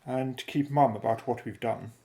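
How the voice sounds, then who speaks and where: An inquisitive, level-headed explorer in a radio play, played by a man. The voice has a marked fry and a measured, formal delivery. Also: mid-range voice, fairly even intonation, warm tone, lightly acted. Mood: inquiring. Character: brisk, inquisitive, level-headed expedition member. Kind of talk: audio drama acting.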